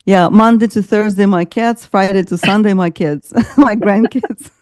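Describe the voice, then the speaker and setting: A woman's voice: mid-range voice, normal speed, darkish tone, no room sound, clean studio sound. An older woman from Ukraine with an Eastern European accent, chatting as an interview guest over a video call, laughing often and using many fillers. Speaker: guest coach. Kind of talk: casual interview chat.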